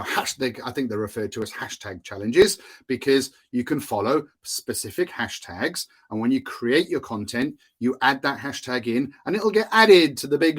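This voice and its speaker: A Welsh man talks through a solo podcast episode in a loose, chatty way. He restarts sentences, drops in fillers and chuckles at his own asides. His voice sits at an ordinary middle pitch for a man. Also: slightly rough. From Wales. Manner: chatty, self-amused, laughs often, many fillers and restarts. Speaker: podcast host, marketing consultant.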